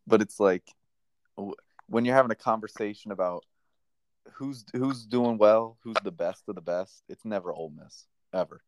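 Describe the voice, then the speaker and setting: A man's voice: on the low side, moderate pace, no echo. A man co-hosting a sports podcast in a casual, conversational style.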